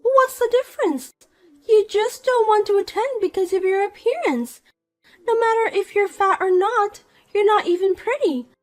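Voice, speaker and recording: A woman's voice: very high-pitched, normal speed, a little mellow, soft background music, voice pushed up. A woman voicing a vain, spiteful young woman who mocks and pushes others around. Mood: taunting. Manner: mocking, pushy, self-important.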